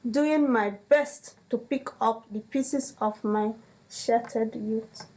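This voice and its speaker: A young woman slowly reading out a prepared personal statement of thanks. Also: on the high side, darkish tone, poor audio. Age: young adult. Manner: measured, careful reading. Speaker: speaker reading a testimony.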